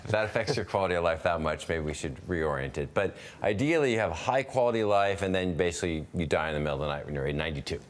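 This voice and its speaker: Deep-voiced man in his fifties hosting. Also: fast talker, hardly any pauses. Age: 50s. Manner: thinks aloud with restarts and fillers, asks questions. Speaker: webinar host. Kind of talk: conversational interview.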